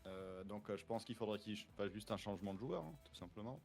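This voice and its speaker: Low-voiced co-caster, laid-back. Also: male voice, lively intonation, quick pace, gravelly, darkish tone, soft background music. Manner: laid-back, teasing analysis. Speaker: esports co-caster. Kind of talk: live esports color commentary.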